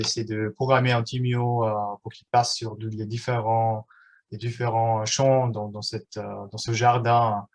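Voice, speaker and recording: A man in his thirties whose first language is German, giving a live online workshop in a halting way, with many fillers and restarts. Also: medium pitch. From German-speaking Switzerland.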